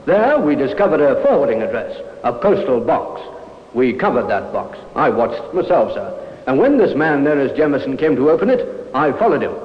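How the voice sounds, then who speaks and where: An actor playing a dutiful, matter-of-fact police sergeant, clipped and respectful, in old radio drama style. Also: male voice, mid-range voice, big pitch swings, moderate pace, natural voice. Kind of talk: 1950s radio drama acting. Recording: echoey, muffled old radio recording.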